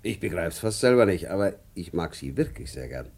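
Small town cop in a kids radio play, low voice, fond uncle type, deliberate storyteller. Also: male voice, big pitch swings, a little mellow, heavily acted. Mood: fond, good-natured. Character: fond, good-natured small-town policeman, grown man. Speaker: narrator character. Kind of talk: German children's radio play acting.

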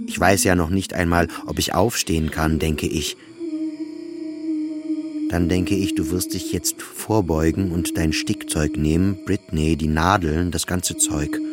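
A man playing a depressed, anxious, self-loathing psychiatric patient who narrates in a confessional radio drama. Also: low-pitched. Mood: weary, resigned. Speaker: narrator of an autobiographical radio play. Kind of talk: scripted first-person radio drama narration. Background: music behind the voice.

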